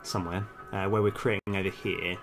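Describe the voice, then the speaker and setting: A man's voice: low voice, level tone, fairly quick, vocal fry, over music. A man doing casual live-stream commentary as a game developer, thinking aloud in a hesitant, self-correcting way.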